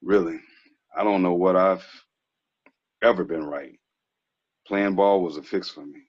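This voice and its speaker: Deep husky young guy actor, dull recording. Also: a little slow. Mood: heavy, confessional. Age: young adult. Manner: casual, slangy, earnest. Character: laid-back twenties ex-athlete man, frank, quietly troubled. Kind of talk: table read of TV drama script.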